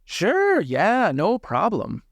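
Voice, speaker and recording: A man voicing a brisk, offhand merchant who haggles over old curios in a tabletop game, with a salesman's patter. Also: high voice, wildly swooping pitch, creaky, no room sound, studio quality, voice pushed up, full character voice. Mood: eager to sell.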